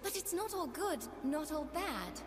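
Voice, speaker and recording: A woman's voice: high voice, big pitch swings, unhurried. A woman voicing a confident, sassy and curious young doll come to life in a video game, with playful, theatrical taunts. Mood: earnest. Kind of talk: video game character voice lines.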